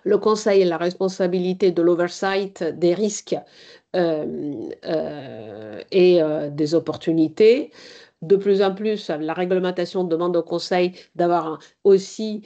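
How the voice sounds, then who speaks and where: Slow, measured woman. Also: fairly low pitch, mellow. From Italy. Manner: measured, hesitant with many pauses. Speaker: board chair and guest speaker. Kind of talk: conference talk. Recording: slightly dull lo-fi sound.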